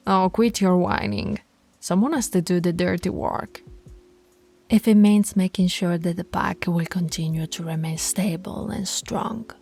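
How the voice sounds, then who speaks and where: A woman playing a dominant, teasing female alpha werewolf pack leader in an ASMR roleplay, commanding and often slipping into a breathy whisper. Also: on the low side, steady tone, unhurried, darkish tone, faint music behind, full character voice. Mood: stern, bossy.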